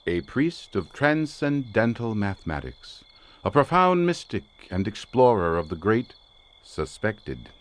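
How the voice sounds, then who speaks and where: An eccentric, obsessive scholar of the occult for a radio suspense play. His low voice rises and falls dramatically as he lectures in grandiloquent, theatrical phrases. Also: very animated, measured pace. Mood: scornful, passionate. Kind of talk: old-time radio suspense drama acting.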